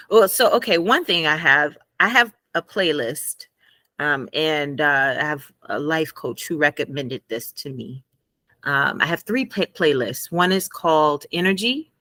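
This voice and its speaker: A woman guest and former math teacher in a conversational interview, telling animated stories and laughing often. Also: deep voice, no echo.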